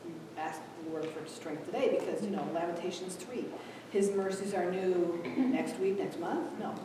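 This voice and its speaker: A woman giving a live conference talk, animated and acting out quoted dialogue, who grew up in Ethiopia. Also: lowish voice, moderate pace, background noise. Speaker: conference speaker. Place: large echoey hall. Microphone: distant room mic. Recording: echoey hall from far off.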